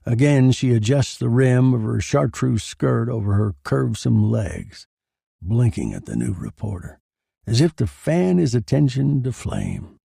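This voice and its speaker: A man playing a murdered man who narrates his own noir horror tale, bitter, detached and deliberate. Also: mid-range voice, big pitch swings, gravelly, warm tone, husky, dry recording, studio quality, heavily acted. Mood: brooding. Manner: brooding, deliberate, dramatic. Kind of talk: scripted first-person noir horror narration.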